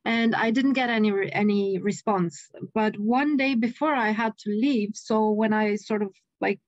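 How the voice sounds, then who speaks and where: A woman in her forties from Romania, speaking with a Romanian accent, telling a story as an anthropologist guest in an animated way on a video call. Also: mid-range voice, normal speed, no echo. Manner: animated, frequent restarts, laughs mid-sentence. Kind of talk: casual interview storytelling. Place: home room with bookshelves. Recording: dry, muffled video call audio.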